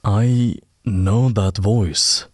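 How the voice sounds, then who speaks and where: A man plays a keen audio sleuth in a radio-style drama. His voice sits fairly low and is recorded dry and close, and he talks in a thoughtful, slightly hesitant way. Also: fairly even intonation, very slow, breathy edge, no room sound, heavily acted. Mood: hesitant, pondering. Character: keen male audio sleuth who relishes a challenge.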